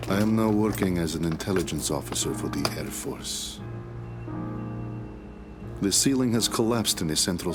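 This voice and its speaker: A deep-voiced, weary occupying officer narrates a game cutscene. He is observant and formal, with measured, melancholy delivery. Music is clearly heard behind him. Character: weary, observant military intelligence officer of an occupying air force. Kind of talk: scripted dramatic narration.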